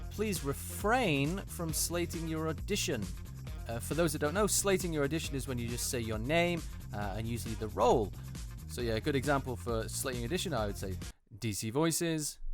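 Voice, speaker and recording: Casual, halting man over prominent background music. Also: a little high, expressive. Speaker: voice actor hosting a video. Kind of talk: unscripted casual commentary giving advice.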